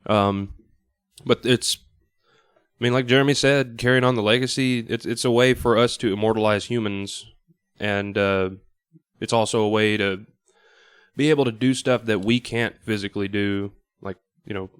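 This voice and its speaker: A podcast panelist, a man with a smooth, resonant voice on the low side. He chats casually, feeling out his thoughts as he goes, in a dry close recording. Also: steady tone, normal speed, no echo. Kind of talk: casual discussion.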